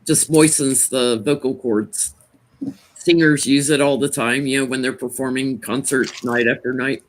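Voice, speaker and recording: A man with a naturally loud voice chats on a home live stream as a tarot reader. He talks easily and rambles, laughing often, and sits a little back from the laptop that picks him up. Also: medium pitch, steady tone, poor audio. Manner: chatty and rambling, quick to laugh. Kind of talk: casual live stream chat. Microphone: laptop, sitting back from it.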